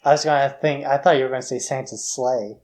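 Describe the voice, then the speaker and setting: A man's voice: mid-range voice, quick pace, a little mellow. Informal male podcast co-host, explaining casually. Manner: informal, explanatory, filler-heavy with frequent "like". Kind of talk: casual film discussion.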